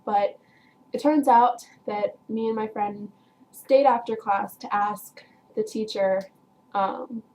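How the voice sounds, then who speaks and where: A teenage girl chatting away to her viewers, telling a meandering story in a mid-pitched voice. She fills her sentences with "like" and "um", and laughs easily as she goes. Faint background noise sits under the recording. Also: slow pace, a little mellow, rough recording. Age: teens. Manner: chatty, rambling, heavy use of "like" and "um"; frequent laughs while telling. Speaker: vlogger. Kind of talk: casual storytelling to camera.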